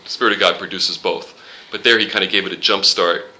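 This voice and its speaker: A man leads a relaxed Bible class, quoting verses and joking with his students. His voice is pitched a little low but sounds very bright and forward. A faint hiss sits under the recording. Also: fast. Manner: conversational, quotes scripture, jokes with the class. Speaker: Bible study teacher. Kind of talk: informal Bible class teaching.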